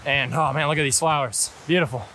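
A man's voice: on the high side, rough recording. A man in his twenties with an American accent, talking casually as a hiking and photography guide outdoors on an action camera. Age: 20s. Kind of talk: casual vlog explanation. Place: outdoors in a canyon beside rushing water. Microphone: action camera at arm's length. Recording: hiss and loud rushing-water noise. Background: rushing water, hiss.

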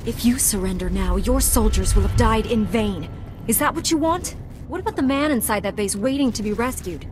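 A woman's voice: on the high side, fairly quick, noisy background, close to an everyday voice. A woman voicing a resolute, idealistic Jedi knight in a video game, earnest and exhorting. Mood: rousing. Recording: faint background ambience.

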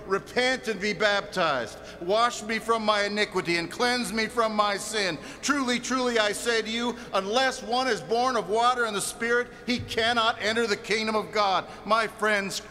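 A man's voice: high voice, bright, forceful tone. A man preaching a fiery, confrontational sermon with emphatic, repetitive rhetorical phrasing. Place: large reverberant hall. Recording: echoey hall, faint mains hum.

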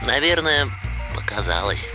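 Cartoon hedgehog youngster: careful, thoughtful, unsure of himself, expressive intonation. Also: male voice, mid-range voice, a little slow, poor audio. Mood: hesitant. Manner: hesitant, careful. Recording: music behind the voice.

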